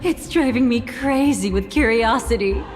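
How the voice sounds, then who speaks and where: A woman playing an enigmatic, teasing figure in a video game, measured, languid and knowing. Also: fairly high pitch, natural voice. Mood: amused, teasing. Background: game music.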